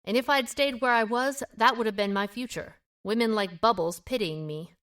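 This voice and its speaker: A woman's voice: a little high, moderate pace, a bit bright, natural voice. A woman playing a guarded, independent small-town amateur sleuth in an audio drama, with a wry, reserved manner. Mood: wistful, guarded. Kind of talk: audio drama dialogue acting.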